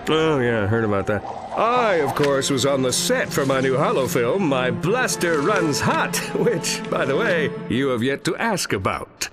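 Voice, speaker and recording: An actor playing a pompous, dim-witted, boastful space superhero in a cartoonish video game, bombastic and goofy. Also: male voice, medium pitch, very animated, unhurried, music in the background. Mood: smug. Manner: bombastic, self-important, goofy.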